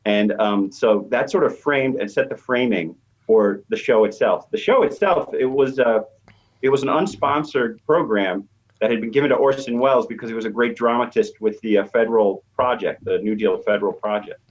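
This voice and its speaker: A man's voice: mid-range voice, moderate pace. A man speaking as an expert guest, explaining conversationally and thinking aloud as he goes. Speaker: expert guest, media professor. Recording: slightly dull, lo-fi line.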